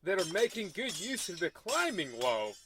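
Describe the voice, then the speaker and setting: A man's voice: high voice, very animated, moderate pace, over music. A man playing a cheery, folksy shopkeeper in a comedy radio play, gawking at the action. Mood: amazed.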